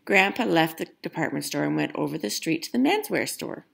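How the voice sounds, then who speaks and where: A woman reading a children's picture book aloud in an expressive storytelling style. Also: low voice, brisk, vocal fry, husky.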